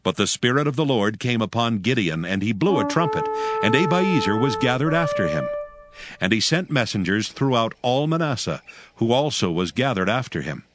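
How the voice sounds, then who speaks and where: A man narrating scripture from a script in a solemn, measured manner. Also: mid-range voice, big pitch swings, fairly bright tone. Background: music.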